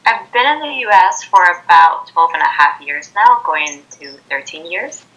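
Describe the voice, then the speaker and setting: A woman's voice: lowish voice, sing-song, normal speed, a bit bright. A twenty-year-old woman from Ecuador, a native Spanish speaker, answering interview questions informally over a dull-sounding internet video call. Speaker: interview guest, college student. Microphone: Skype video call.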